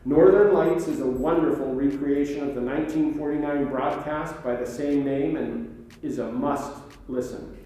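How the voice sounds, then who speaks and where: A man's voice: on the high side, fairly even intonation, normal speed, dark tone, close to an everyday voice. A man playing a mysterious late-night radio show host, speaking in a grandiose, formal way straight to the listeners. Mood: showmanlike. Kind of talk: theatrical radio show sign-off. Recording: echoey, distant, faint music behind.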